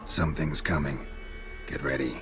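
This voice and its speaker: A man playing a stoic, laconic monster hunter in a video game, with a low gruff voice and a terse, deadpan delivery. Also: moderate pace, over loud music. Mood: dry, guarded. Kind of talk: video game cutscene acting.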